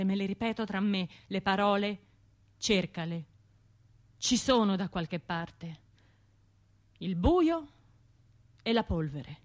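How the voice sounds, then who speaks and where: A woman's voice: medium pitch, close to an everyday voice. An actress playing a traumatised, self-reproaching woman survivor in a radio drama monologue, speaking in fragmented, obsessively repeated phrases. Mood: weary, haunted. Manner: fragmented, repetitive, obsessive delivery with hushed and surging phrases.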